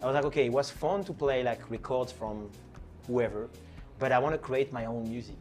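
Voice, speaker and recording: A man's voice: mid-range voice, brisk, a little mellow, faint music behind. French guy chatting about his dj path. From France. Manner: chatty, many fillers and restarts. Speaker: DJ and producer guest. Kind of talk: casual interview chat.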